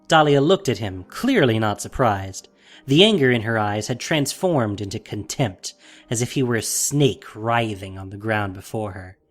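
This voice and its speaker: Expressive male fantasy story narrator. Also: on the low side, moderate pace, faint music behind.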